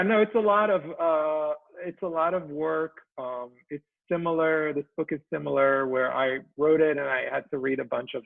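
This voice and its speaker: Gravelly, halting man on a tinny call. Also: mid-range voice, dry recording. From Israel. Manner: halting and soft-spoken, full of ums and trailing phrases. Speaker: guest cartoonist. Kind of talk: casual chat. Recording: tinny dry call audio.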